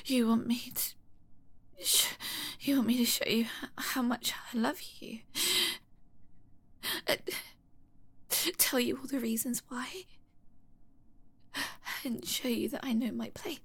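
A frightened, clingy young woman, voiced by a woman in an audio roleplay sobs out apologies in a very high, bright voice. Her pitch leaps and falls wildly, and her slow, tearful lines often sink to a breathy whisper or crack into nervous laughs. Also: big pitch swings, heavily acted. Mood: hushed submissive.

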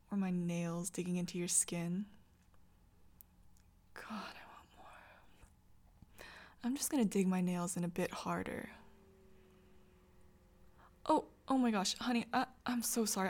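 A woman playing a whispering, gravelly girlfriend. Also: mid-range voice, close to an everyday voice. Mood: teasing whisper, then flustered apology. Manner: soft close whispering, stammering when flustered. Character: intense, tender young girlfriend. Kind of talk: whispered audio roleplay.

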